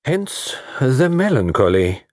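A man voicing a polite, dapper detective with a deliberate, formal, gently teasing manner in an audiobook. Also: medium pitch, big pitch swings, no echo, clean studio sound, pitched above the performer's own voice. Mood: polite, probing. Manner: courteous, deliberate, formal inverted phrasing.

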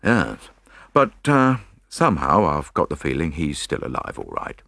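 A man voicing a brisk, cheerful police inspector, blunt, chatty and sure of himself, in an audiobook. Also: very deep voice, wildly swooping pitch, gravelly, pushed and bright, no echo, clean studio sound, heavily acted. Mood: uncertain.

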